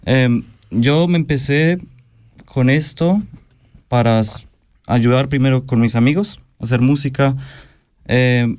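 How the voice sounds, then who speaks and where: Clear-voiced Colombian man. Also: medium pitch, a little mellow. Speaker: guest music producer. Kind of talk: casual interview talk.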